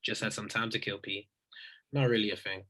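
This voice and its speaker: A man's voice: lowish voice, fairly even intonation, fairly quick, bright, forceful tone. A man playing a modest, affectionate young man in an understated, casual screenplay table read.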